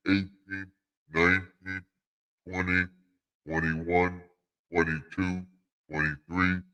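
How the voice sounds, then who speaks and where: A man with a low voice hosts a livestream in muffled lo-fi audio. He speaks very slowly, in a casual promotional style. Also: fairly bright tone. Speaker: livestream host. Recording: dull lo-fi sound.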